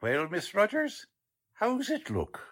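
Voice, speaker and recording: A man's voice: sits high, huge pitch swings, slow pace, fairly bright tone, exaggerated voice. A man acting a cheerful, proud, unpolished police lieutenant with folksy bluster in a 1940s-style radio drama. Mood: eager, proud. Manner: folksy, ungrammatical, good-natured bluster.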